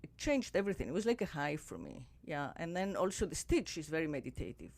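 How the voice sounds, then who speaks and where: A woman podcast host in casual conversation, reflective and musing, drifting softer as she thinks aloud. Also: low-pitched, sing-song, fast, creaky.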